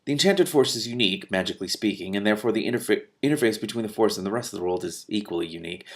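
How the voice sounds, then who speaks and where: A man's voice: on the low side, racing, natural voice. A man voicing a pompous, self-absorbed magician in a storybook, wordy and lecturing with stiff dignity.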